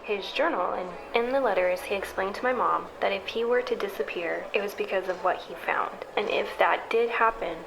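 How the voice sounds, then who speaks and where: Woman playing a guarded young sci-fi scientist, medium pitch. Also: moderate pace, creaky, few pauses, background noise, natural voice. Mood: guarded, matter-of-fact. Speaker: supporting character. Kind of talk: audio drama dialogue. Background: background music.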